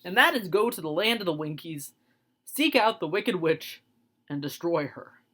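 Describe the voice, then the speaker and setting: A woman voicing a timid but proud male lion who speaks earnestly and pleads loudly. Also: lowish voice, animated, normal speed.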